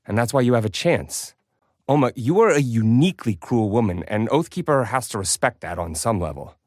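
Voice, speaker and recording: An actor playing a calm, frank younger confidant in a video game drama, speaking in a measured way. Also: male voice, medium pitch, no room sound, clean studio sound, lightly acted.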